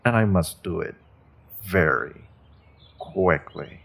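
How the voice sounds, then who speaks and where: A man playing a menacing, courteous evil sorcerer warlord in a fantasy audio roleplay, gloating in a mock-polite, deliberate way with a gravelly, husky voice. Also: low-pitched, animated, fairly bright tone, heavily acted. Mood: proud, calculating. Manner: slow, deliberate, mock-polite, savoring threats with drawn-out pauses. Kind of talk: fantasy audio roleplay, speaking directly to a captive listener.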